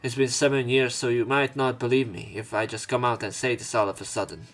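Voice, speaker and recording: A man's voice: mid-range voice, fairly even intonation, brisk, a bit bright. A man hosting a let's play, reading a visual novel aloud with casual commentary, often stumbling over words and correcting himself. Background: game music behind the voice.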